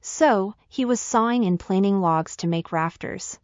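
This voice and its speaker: A woman's voice: medium pitch, measured pace, dry recording, studio quality. A woman reading a scripted children's fairy tale as the storyteller.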